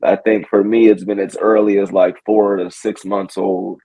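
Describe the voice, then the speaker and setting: A man's voice: fairly low pitch, little pitch movement, moderate pace, mellow, poor audio. A man in his early twenties, a guest on a casual podcast, talking in a laid-back way full of "like". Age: 20s. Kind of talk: casual interview chat.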